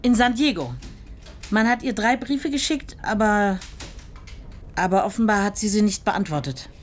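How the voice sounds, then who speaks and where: A woman's voice: medium pitch, lively intonation, moderate pace, slight background noise, close to an everyday voice. An actress playing a formally polite, officious female clerk at a postwar tracing office in a radio play. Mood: formal, reluctant.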